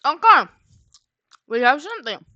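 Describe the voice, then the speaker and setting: Bright-voiced woman chatting on a livestream. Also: high-pitched, very animated, normal speed, no echo, dull audio. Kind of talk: casual livestream chat while drawing.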